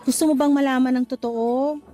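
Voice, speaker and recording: Casting: actress for young single mom, Filipino accent, high-pitched, worn-out yet defensive, radio drama with light music bed. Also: female voice, steady tone, brisk, mellow, lightly acted. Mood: weary, guarded. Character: weary, feisty single mother, defensive with her ex. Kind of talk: Tagalog radio drama acting.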